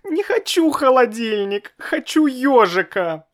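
A man doing a whiny excitable little boy. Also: slow pace. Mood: tearful. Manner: high whiny pleading, sobbing. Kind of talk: storybook reading.

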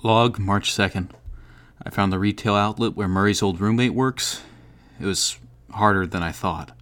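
Shady male snoop for audio drama , played by a man: slightly deep, casual, muttering, sneaky. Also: normal speed, fairly bright tone, lightly acted. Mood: secretive, satisfied. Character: shady male investigator, sneaky, awkward when caught. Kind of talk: audio drama log entry.

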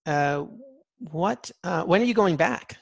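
A man's voice: sits high, animated, slow, vocal fry, breathy edge, dry recording. A man interviewing someone in a casual, anecdotal way, with an enthusiastic, folksy and informal manner.

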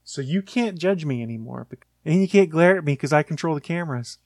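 A man's voice: fairly high pitch, animated, fairly quick, darkish tone, dry recording, studio quality. A man co-hosting a casual gaming podcast, talking in long, rambling explanations. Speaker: podcast co-host. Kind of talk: casual chat.

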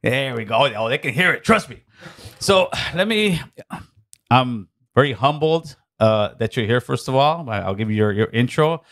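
A man's voice: medium pitch, animated, normal speed, fairly bright tone. A man hosting a live podcast in casual, slangy talk, full of restarts and friendly banter. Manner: loose, slangy, upbeat with frequent restarts. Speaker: podcast host. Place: podcast studio.

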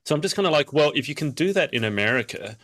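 A man's voice: fairly high pitch, expressive, quick pace, fairly bright tone, dry recording, clean studio sound. A man co-hosting a podcast, musing aloud in casual chat and hedging with "like". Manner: musing, hedges with "like". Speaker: podcast co-host.

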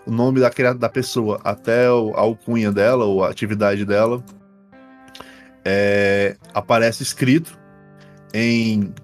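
A man's voice: lowish voice, steady tone, measured pace, soft background music. A man with a Brazilian accent, improvising narration as game master in casual, slangy speech. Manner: informal, uses slang and swearing.

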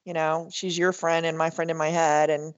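A woman's voice: low-pitched, flat delivery, moderate pace, dry recording, muffled recording. Southern woman co-hosting a chatty podcast. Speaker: podcast co-host. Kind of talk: casual chat.

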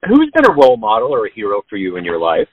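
A male interview host who asks his questions in a lively, inquisitive way. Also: fairly low pitch, fast talker, no echo, dull audio.

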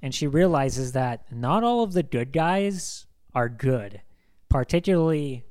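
An enthusiastic young man hosting a casual film podcast, rambling as he goes and peppering every thought with filler words. His voice sits at an ordinary middle pitch for a man. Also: animated, a little slow, a little mellow. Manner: enthusiastic, rambling, heavy use of "like". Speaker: podcast co-host.

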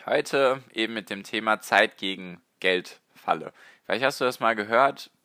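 A man with a lowish voice that still comes across bright and forward, hosting a solo podcast with a slightly strained, hoarse edge. He talks to the listener directly and rambles casually. The sound is dry and close, with no room around it. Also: normal speed, no room sound. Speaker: podcast host.

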